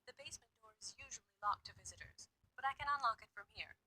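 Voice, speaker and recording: An actress playing a formal, guarded woman in charge of office security in a visual novel game, speaking in a measured way. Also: sits high, expressive, bright, forceful tone, full character voice. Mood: instructive.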